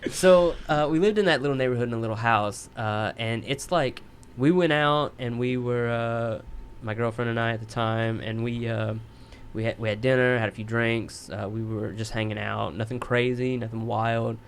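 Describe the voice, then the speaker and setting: A man's voice: medium pitch, fairly even intonation, normal speed, slight background noise. A man telling a long, rambling story on a podcast, casual and profane, poking fun at himself. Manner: rambling, profane, self-mocking.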